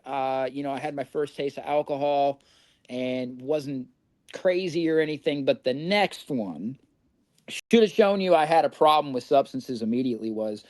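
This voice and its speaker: A man's voice: mid-range voice, a little mellow, no room sound. A middle-aged man from Indiana, a podcast guest telling his recovery story in a candid, rambling way and swearing freely.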